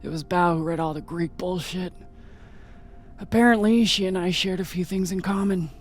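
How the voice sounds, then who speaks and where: A man's voice: sits high, moderate pace, faint music behind. A man playing a haunted, hardened space pilot in a video game, terse, wry and profane. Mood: guarded, resolute. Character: hardened, haunted, foul-mouthed space pilot grieving a husband. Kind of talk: dramatic video game dialogue.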